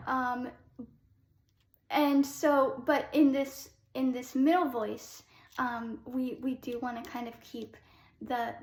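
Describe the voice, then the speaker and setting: A young adult woman, a classical soprano, giving a relaxed vocal lesson in a chatty way with many ums. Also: on the high side, roomy sound, slight background noise.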